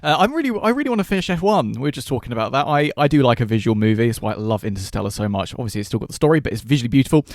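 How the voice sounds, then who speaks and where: Guy on a film podcast, talks quick, keen and informal, dry sound. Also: medium pitch, big pitch swings, a little mellow, no echo. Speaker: podcast co-host. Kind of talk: casual chat.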